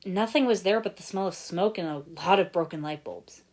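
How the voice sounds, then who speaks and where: A woman's voice: lowish voice, moderate pace, a little mellow, natural voice. Woman acting a plain-spoken young male ninja. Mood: tense.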